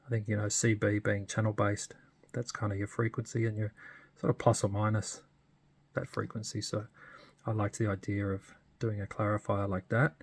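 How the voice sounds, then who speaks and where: A man giving a casual, unscripted hands-on demo as a hobbyist, thinking aloud in a hesitant, understated way with a husky, gravelly voice. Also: fairly low pitch, level tone, moderate pace. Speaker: radio hobbyist presenting a modification demo.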